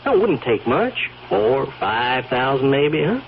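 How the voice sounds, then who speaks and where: A man's voice: medium pitch, sing-song, slow pace, creaky, full character voice. An actor playing a sly, folksy stable hand turned blackmailer in a radio drama, rambling and insinuating as he wheedles. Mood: wheedling. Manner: folksy, rambling, insinuating, chuckling drawl of hesitations. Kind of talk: old radio drama acting. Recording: dull, hissy old radio recording.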